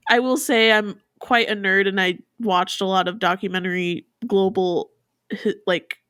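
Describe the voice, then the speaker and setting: A woman's voice: medium pitch, steady tone, normal speed, fairly bright tone, no room sound, studio quality. A woman in her twenties co-hosting a podcast, chatting casually with lots of fillers and frequent laughter. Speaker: podcast co-host. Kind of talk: casual chat.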